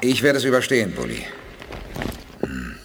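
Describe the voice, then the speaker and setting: An actor playing a calm, resolute male space commander in a 1980s radio play. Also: fairly low pitch, normal speed, long reverb tail, noisy background.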